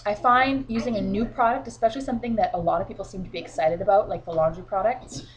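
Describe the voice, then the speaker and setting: A woman's voice: mid-range voice, steady tone, fast talker, a little hiss or hum, poor audio. A woman with a cold, sniffling a little, giving chatty, encouraging advice to her sales team from home. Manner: chatty and encouraging, frequent fillers. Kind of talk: casual instructional vlog. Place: at home, children beside her.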